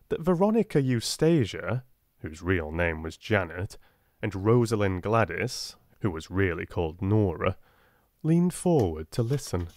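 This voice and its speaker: A soft-spoken man with a British accent narrating a classic children's audiobook. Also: lowish voice, no room sound, clean studio sound.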